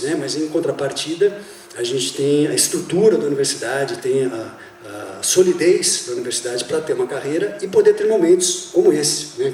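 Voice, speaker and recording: A man's voice: mid-range voice, slight background noise. Man telling stories in an echoey theater. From Brazil. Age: 50s. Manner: relaxed storytelling with many fillers. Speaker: university professor and drummer. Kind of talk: talk on stage. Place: theater hall. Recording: echoey theater, speaker far from mic.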